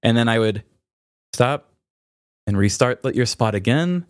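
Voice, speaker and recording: A man hosting a podcast, talking candidly and reflectively in a halting way, searching for words. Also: medium pitch, expressive, dry recording, clean studio sound. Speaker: podcast host. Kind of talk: candid, reflective personal conversation.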